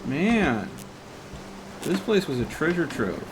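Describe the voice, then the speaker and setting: A man's voice: fairly high pitch, sing-song, slow, rough recording. Man streaming a game over music. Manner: thinks aloud, talking to himself and the game. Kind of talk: casual live gameplay commentary.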